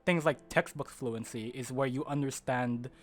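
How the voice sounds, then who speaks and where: A man's voice: mid-range voice, normal speed. Rambling, stop-start teenage boy. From Philippines. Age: teens. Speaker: podcast co-host. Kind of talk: casual chat.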